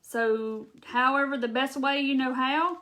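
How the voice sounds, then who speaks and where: A woman's voice: high voice, steady tone, unhurried, pushed and bright. A woman with a Southern accent hosting a live craft demonstration, chatting straight to her viewers and answering their comments as she works. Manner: rambling with restarts and laughs. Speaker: craft video host.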